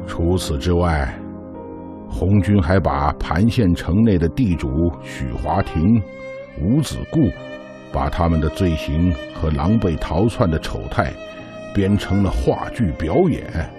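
An elderly storyteller in a radio drama. His voice is deep and slow, amused as he reminisces, with string music behind him. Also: animated. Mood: nostalgic, amused. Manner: unhurried, amused, chuckling storyteller. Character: old man reminiscing about Red Army days.

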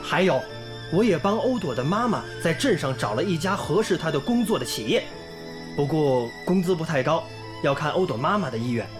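An actor playing an earnest, idealistic young volunteer teacher in a radio drama, polite and sincere in manner. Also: male voice, a little high, lively intonation, fairly quick, close to an everyday voice. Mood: polite, cheerful. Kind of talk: radio drama acting and narration. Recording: music bed under the voice.

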